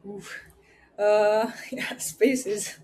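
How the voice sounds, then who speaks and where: A woman's voice: medium pitch, fairly even intonation, drawn-out words, darkish tone. Thoughtful woman. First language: Romanian. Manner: hesitant, thoughtful, light laughs. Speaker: podcast guest. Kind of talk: casual interview answers.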